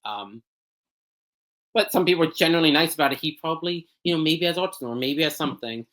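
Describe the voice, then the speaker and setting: A man's voice: a little high, animated, fast, a bit bright. A young man talking candidly as an interview guest, rambling through his answers with many fillers, restarts and frequent laughs. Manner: rambling, self-deprecating, laughs often mid-sentence, many um fillers and restarts. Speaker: podcast guest. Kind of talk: candid personal interview talk.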